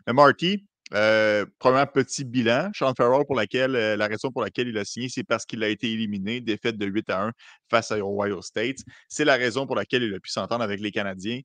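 A man's voice: lowish voice, brisk, a bit bright, no room sound. A man hosting a sports podcast, guiding the talk and reading listener messages. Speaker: podcast host.

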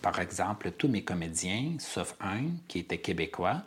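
A man from Armenia speaks in a very low voice during an interview. He answers earnestly, often pausing to search for the right word. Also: animated, fairly bright tone, slightly rough. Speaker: playwright and director, guest. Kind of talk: interview conversation.

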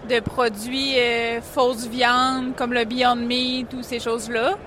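A woman's voice: a little high, flat delivery, takes it slowly, fairly bright tone, a little hiss or hum. A woman from Quebec with a Quebec French accent, answering interview questions casually about her feed company. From Quebec, Canada. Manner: casual, many fillers.

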